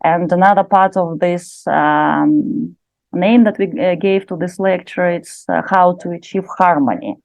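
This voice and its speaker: A woman's voice: lowish voice, a little slow, gravelly, a little mellow, no echo, lo-fi sound. A woman with a Russian accent giving an informal lecture in English, hesitant and full of fillers. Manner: hesitant, many fillers, laughs often.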